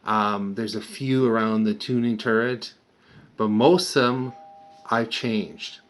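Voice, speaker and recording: Older man, fairly low voice. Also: fairly even intonation, slow pace. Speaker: vintage radio restorer and presenter. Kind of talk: casual hands-on repair walkthrough.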